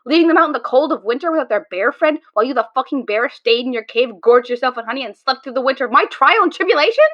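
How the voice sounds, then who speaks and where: A woman's voice: high-pitched, animated, fast, lo-fi sound. Woman playing a sarcastic, exasperated friend. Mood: ranting. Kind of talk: audio drama acting.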